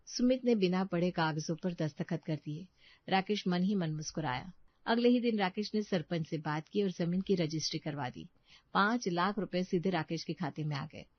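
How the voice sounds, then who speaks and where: A woman's voice: on the low side, normal speed, dry recording, highs cut off. A woman reading a scripted moral story as its narrator.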